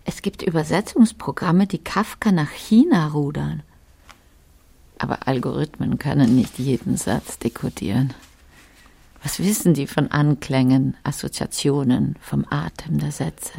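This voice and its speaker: An actress playing a middle-aged, wistful translator who muses poetically in fragments, sometimes hushed, in a literary radio play. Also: female voice, sits low, measured pace, vocal fry, husky, full character voice. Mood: questioning. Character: brooding, wistful woman translator, long married, lost in words.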